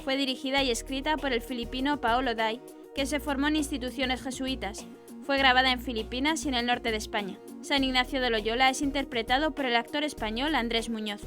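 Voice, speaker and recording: Woman newsreader with a high voice. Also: fairly even intonation, fairly quick, over music. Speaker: news presenter. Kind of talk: scripted news narration.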